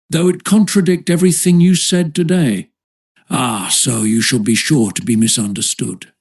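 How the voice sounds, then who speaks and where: Higher-voiced man reading a classic essay. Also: darkish tone. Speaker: audiobook narrator. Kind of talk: audiobook narration of a 19th-century essay.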